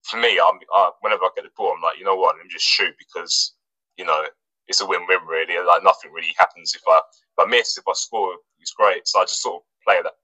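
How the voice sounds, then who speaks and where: A man's voice: low-pitched, fast, no room sound, low-quality recording. A man, a footballer from England, talking casually in an interview with lots of fillers and restarts. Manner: relaxed, hesitant, many fillers and restarts. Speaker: footballer guest.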